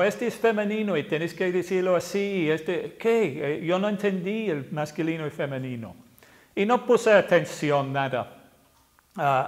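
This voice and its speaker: A man in his sixties from England, an English teacher with an English accent, talking casually to camera, often pausing and correcting himself. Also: high-pitched. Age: 60s. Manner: hesitant, self-correcting, plain-spoken.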